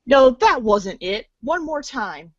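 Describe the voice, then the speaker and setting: A woman's voice: fairly high pitch, sing-song, slow pace, dry recording, highs cut off. A woman reading scripted second-person game narration in the manner of a read-along storybook.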